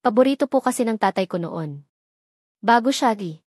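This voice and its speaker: A woman's voice: fairly high pitch, expressive, moderate pace, a little mellow, no echo, studio quality. A woman narrator voicing a humble, anxious young housemaid who speaks politely and deferentially, with a Filipino accent. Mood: shy.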